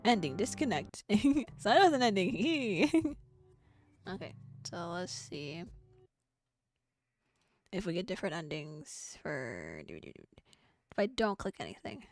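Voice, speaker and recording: Woman streamer, excitable reactions, swears and laughs. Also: on the low side, slow pace, pauses often, dry recording, faint music behind. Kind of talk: gaming stream commentary.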